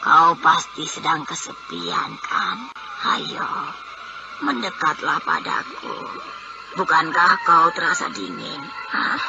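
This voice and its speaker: Creaky, menacing old witch. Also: low-pitched, huge pitch swings, exaggerated voice. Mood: seductive, sly. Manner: slow, theatrical, coaxing and menacing. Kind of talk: Indonesian radio horror serial acting. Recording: dull, noisy old radio drama recording.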